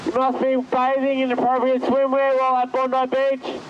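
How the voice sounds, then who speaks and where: A man's voice: very high voice, monotone, moderate pace, dull audio. A young man, a lifeguard talking firmly and plainly on a beach. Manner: firm, plain-spoken. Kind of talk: announcing over loudspeaker and casual talk. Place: outdoors on a loud surf beach. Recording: loud surf noise behind.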